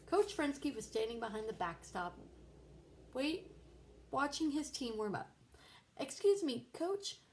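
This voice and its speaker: A woman's voice: fairly high pitch, expressive, measured pace, long pauses, a little hiss or hum. A woman narrating a children's book aloud as a storybook reader.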